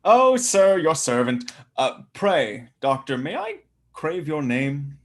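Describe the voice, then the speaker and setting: An actor playing a commanding young gentleman, with a theatrical, declamatory delivery. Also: fairly high pitch, wildly swooping pitch, takes it slowly, full character voice. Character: young gentleman arresting a traitor, male, commanding. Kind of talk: stage-play reading.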